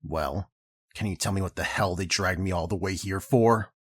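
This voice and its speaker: A very deep, rough-voiced ex-army captain, acted by a man, bitter and angry. He talks with surly, dismissive sarcasm in a dry, close audio drama recording. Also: normal speed, no echo. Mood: sarcastic, annoyed.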